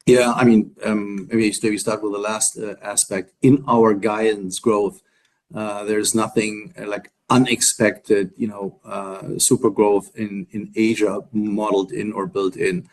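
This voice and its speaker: A man's voice: fairly low pitch, level tone, unhurried, darkish tone. A man speaking as a company executive on an earnings call, answering in broken phrases with many repeated words.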